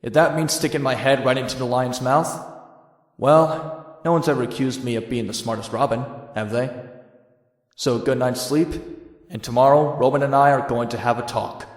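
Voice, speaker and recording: An actor playing a cocky, sardonic young vigilante antihero, moving between wry noir narration and wisecracking dialogue. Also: male voice, mid-range voice, steady tone, normal speed, natural voice. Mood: brooding, wry. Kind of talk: noir first-person narration and dramatic dialogue. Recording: echoey, long reverberant tail.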